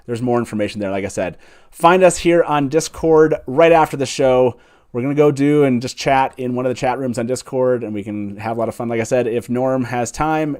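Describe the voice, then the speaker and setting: A man hosts a livestream in a casual, chatty style. His voice sits at an average pitch, and he talks with enthusiasm to viewers and guests. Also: darkish tone. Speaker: show host.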